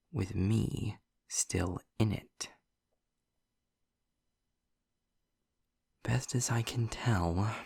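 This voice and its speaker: A man playing a snarky, sardonic demon in an audio roleplay, speaking deliberately in a gravelly, husky voice close to the mic. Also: darkish tone, no echo, heavily acted. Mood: brooding, explaining. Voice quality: gravelly, husky low voice with breathy edges. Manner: sarcastic, deliberate, drawn-out phrasing. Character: snarky, sardonic male demon trapped in hell. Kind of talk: scripted audio roleplay addressed to the listener.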